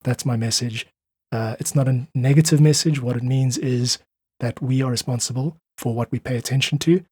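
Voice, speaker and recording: South african guy on a podcast, bit husky, dry close sound, making his points hard and casual. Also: mid-range voice, moderate pace, darkish tone, no echo. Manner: emphatic, persuasive, informal. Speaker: musician, podcast guest. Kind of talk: conversational interview, opinionated monologue.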